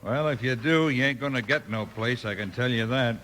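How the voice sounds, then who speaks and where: A man's voice: medium pitch, fairly quick, fairly bright tone, lightly acted. A man playing a disgruntled, rustic buffalo hunter with folksy talk in a 1950s radio western. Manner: folksy, rustic grammar, grumbling. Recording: noisy 1950s radio recording.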